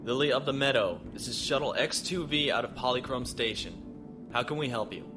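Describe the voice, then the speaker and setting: An actor playing a steady, wry imperial officer piloting a shuttle in a science fiction audio drama. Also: male voice, medium pitch, fairly quick, close to an everyday voice. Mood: polite, businesslike. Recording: music behind the voice.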